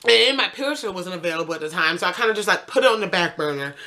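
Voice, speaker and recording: A woman's voice: lowish voice, lively intonation, fast talker, bright, forceful tone. A woman in her twenties casually telling a rambling personal story to her camera, correcting herself as she goes. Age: 20s. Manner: chatty, rambling, self-correcting with frequent fillers. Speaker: vlogger.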